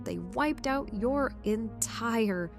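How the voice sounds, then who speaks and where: A woman acts out a broken, desperate henchwoman in an audio drama. She speaks slowly and haltingly, stammering with fear and anguish. Music runs faintly under the voice. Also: on the high side, animated, gravelly, heavily acted. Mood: fearful, halting. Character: broken, frightened, desperate female henchwoman and addict.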